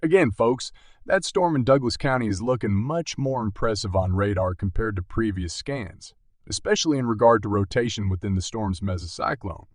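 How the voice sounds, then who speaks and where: Man acting as an urgent, earnest TV meteorologist: lowish voice, close dry sound, emphatic live storm coverage. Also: lively intonation, moderate pace, no echo. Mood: focused. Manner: emphatic, addresses viewers directly. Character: earnest, urgent male TV weather broadcaster. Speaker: broadcast meteorologist. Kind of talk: live severe weather coverage.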